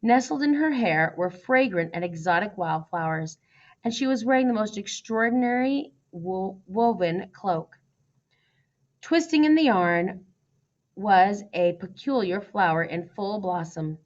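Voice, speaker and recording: A woman narrates a children's storybook, reading aloud. Her pitch sits in the middle range for a woman. The recording is somewhat dull and lo-fi. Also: expressive, measured pace. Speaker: storybook reader.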